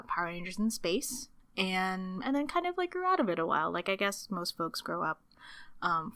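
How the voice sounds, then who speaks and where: A woman in her thirties from the Philippines, a podcast guest telling her story in a casual, animated way, laughing often and acting out her past thoughts. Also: fairly low pitch, no echo.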